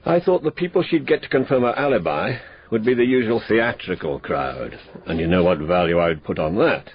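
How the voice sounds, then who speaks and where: An actor playing a businesslike police inspector in a radio drama, with a matter-of-fact way of briefing. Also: male voice, on the low side, expressive, brisk, close to an everyday voice. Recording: dull lo-fi radio drama audio.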